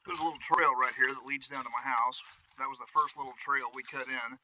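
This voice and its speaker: A man's voice: medium pitch, fairly even intonation, brisk, sharp tone, a little hiss or hum, low-quality recording. A man giving a casual, folksy, conversational tour of his property outdoors, as a vlog host. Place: outdoors on wooded rural land. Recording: music behind the voice.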